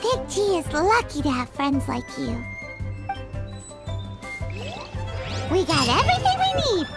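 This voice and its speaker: A woman voicing a tiny, excitable floating fairy companion with a squeaky, childlike voice in a video game. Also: brisk, creaky, heavily acted. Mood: excited. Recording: studio voice over game music.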